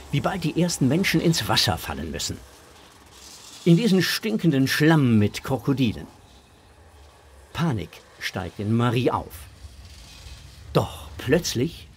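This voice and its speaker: A man narrates a children's audio drama with lively intonation and a medium-pitched voice. His storytelling is dramatic, with faint ambience behind it. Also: measured pace, long pauses, slight background noise.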